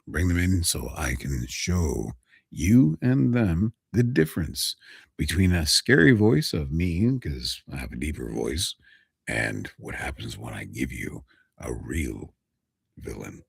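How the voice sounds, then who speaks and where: A man's voice: extremely low pitch, very animated, slow, vocal fry, slightly rough, no echo, studio quality. A man, a voice actor, chatting casually on a livestream and talking straight to a viewer. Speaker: voice actor streamer.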